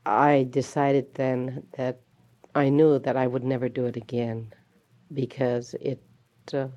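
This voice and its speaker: Woman teacher, very low and mellow voice, halting and reflective, dull lo-fi recording. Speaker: schoolteacher. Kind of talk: recounting memories in an interview.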